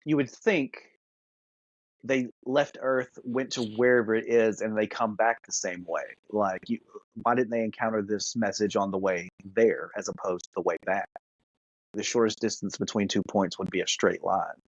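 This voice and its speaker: Guy podcast cohost, kinda low voice, casual rambly talk, dull muffled audio. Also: fairly even intonation, moderate pace, no room sound. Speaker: podcast co-host. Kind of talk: casual discussion.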